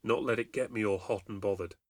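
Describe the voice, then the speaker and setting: A man's voice: lowish voice, steady tone, a little fast, a little mellow, dry recording, studio quality, close to an everyday voice. A man playing a stressed, short-tempered mother in a horror story, in an emphatic, dramatic manner. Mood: stubborn, muttering.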